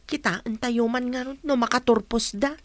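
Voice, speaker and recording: A woman's voice: a little high, moderate pace, no echo, lightly acted. A woman playing an anxious, doubtful teenage daughter in an audio drama. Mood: doubtful, questioning.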